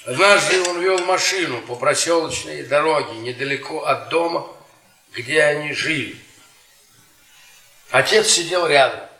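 A bright-voiced, bitter middle-aged professor. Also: male voice, fairly high pitch. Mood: grave, haunted. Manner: theatrical, deliberate storytelling with trailing repetitions. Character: bitter, worn-down middle-aged history professor, sardonic storyteller. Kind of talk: Soviet radio theatre acting, dramatic monologue. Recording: roomy stage-like echo, distant from microphone.

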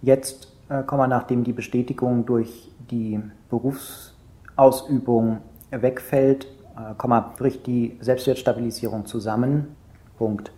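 An actor playing a male therapist in a naturalistic radio play, asking probing questions in a measured, halting way. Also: medium pitch, fairly even intonation, dark tone, close to an everyday voice. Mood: clinical dictation. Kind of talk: naturalistic radio drama dialogue. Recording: roomy sound with faint noise.

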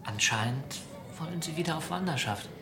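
An actor in a radio drama playing an ailing pianist, a gentle and measured father speaking his farewell. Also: fairly high pitch, lively intonation, over music. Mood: resigned, quiet. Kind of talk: radio drama acting, letter reading.

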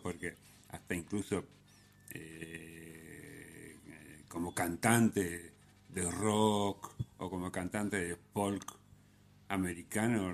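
A man hosting a radio music show, giving unscripted commentary in a halting way, often hesitating and correcting himself. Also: low voice.

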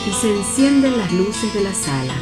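A woman's voice: medium pitch, sing-song, normal speed, low-quality recording. A woman with an Argentine accent reading stage directions as the narrator of a radio play. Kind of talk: scripted radio theatre narration. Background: guitar music.

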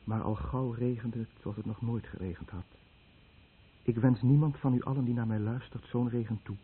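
An actor playing a guilt-ridden Japanese fisherman in a radio drama, speaking solemnly and deliberately as he warns his listeners. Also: mid-range voice, fairly even intonation, slightly rough, close to an everyday voice. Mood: grave warning. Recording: old radio play, tinny narrow band, faint noise.

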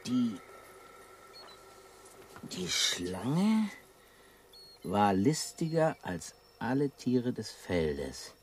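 Ein Schauspieler spielt in einem deutschen Hörspiel einen gelangweilten, verkrüppelten Ex-Raufbold, der zum Leser wurde, schlicht und neckend im Ton. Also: male voice, fairly low pitch, very animated, extremely slow, long pauses, exaggerated voice. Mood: musing, reading aloud. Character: crippled former brawler turned bookish, bored, teasing survivor. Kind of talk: German radio play acting. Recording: radio play with clear background noise.

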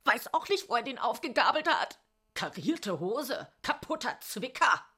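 A woman's voice: fairly high pitch, sing-song, unhurried, pushed and bright, breathy edge, exaggerated voice. An actress playing a hysterical, indignant young woman clerk in a radio play, gossiping in exasperation. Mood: gossipy, disgusted. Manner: gossipy, exasperated, whispering and wailing by turns. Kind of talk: German radio play acting.

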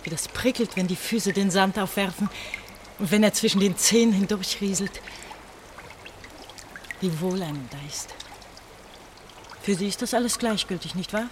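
A woman's voice: mid-range voice, moderate pace, long pauses, lightly acted. Casting: a woman to play an exhausted, emotional girl on the run in a German radio play, faint noisy water behind. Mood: exhausted, despairing. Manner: emotional, reproachful, dramatic. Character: desperate, bitter, exhausted young woman fleeing underground. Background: running, dripping water.

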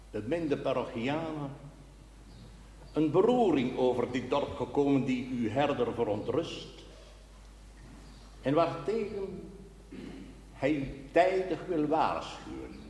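Solemn slow-speaking pastor in echoing church. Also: male voice, medium pitch, sing-song, a little mellow. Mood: solemn, worried. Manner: solemn, measured, rhetorical. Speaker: actor in a TV drama series. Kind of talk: formal sermon in television drama. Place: large reverberant indoor space. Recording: echoey church-like reverb with background noise.

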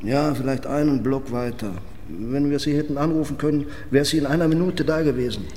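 An actor playing a loyal, casual-talking robber in a German radio crime drama. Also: male voice, medium pitch, fairly quick, a little mellow, a little hiss or hum, close to an everyday voice. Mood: tense, concerned. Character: male robber, loyal to his jailed girlfriend. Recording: long reverb with music underneath.

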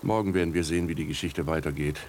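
An actor playing a contemplative writer narrating his own cat story in a radio drama, measured, musing and wry. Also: extremely low pitch, steady tone. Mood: wry, reflective. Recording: typewriter clicks and noise behind the voice.

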